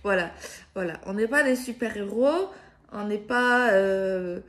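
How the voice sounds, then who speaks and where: Woman, card reader speaking casually to viewers. Unhurried, halting, repeats and rewords as she goes. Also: mid-range voice, lively intonation, a bit bright. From France. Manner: hesitant, circling, repeats and rephrases herself. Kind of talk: improvised spiritual guidance, casual chat to viewers.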